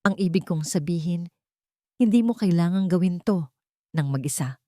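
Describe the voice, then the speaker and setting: Steadfast, gentle romantic lead, male role read by a woman, reassuring, measured, dry sound. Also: fairly low pitch, lively intonation, no room sound, natural voice. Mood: gentle concern. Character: gentle, supportive man, steadfast romantic partner.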